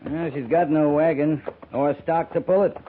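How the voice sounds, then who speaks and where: An actor playing a firm, plain-spoken cavalry captain, stern but compassionate, terse and measured in a 1950s radio Western. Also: male voice, fairly high pitch, steady tone, natural voice. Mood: reflective, plain. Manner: terse, measured, matter-of-fact. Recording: noisy, narrow-band 1950s radio broadcast.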